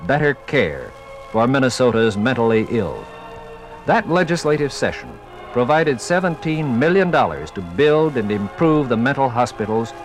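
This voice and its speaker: A man's voice: medium pitch, low-quality recording. An American man giving grave, emphatic, dramatic scripted narration in the style of a 1950s radio documentary.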